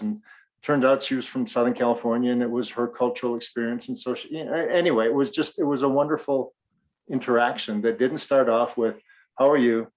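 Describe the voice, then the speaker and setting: A man's voice: mid-range voice, low-quality recording. A middle-aged man from Western Canada with a faint Canadian accent, co-hosting a podcast and telling stories while acting out dialogue. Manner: animated storyteller, acts out dialogue. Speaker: podcast co-host. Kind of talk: casual podcast chat.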